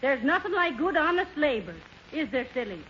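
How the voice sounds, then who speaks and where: A woman's voice: high-pitched, big pitch swings, brisk, a crowd in the background. A woman playing a practical, scolding, folksy wife in a 1930s radio comedy. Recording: lo-fi 1930s radio with faint noise.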